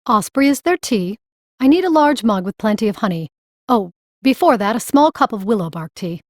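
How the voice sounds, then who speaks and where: A woman's voice: on the high side, lively intonation, normal speed, no room sound. A woman voicing a brusque woman mage and herbalist with a blunt, wry manner in an audiobook. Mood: brisk, irritable. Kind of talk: audiobook character acting.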